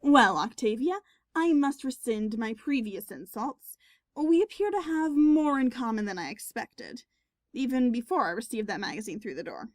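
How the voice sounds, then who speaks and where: Woman voicing cartoon pony musician, female, sardonic, forgiving, wry delivery, highish pitch, dry sound. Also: expressive, normal speed, no echo, natural voice. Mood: smug teasing. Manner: wry, teasing, wordy mock-formal. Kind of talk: audio drama character acting.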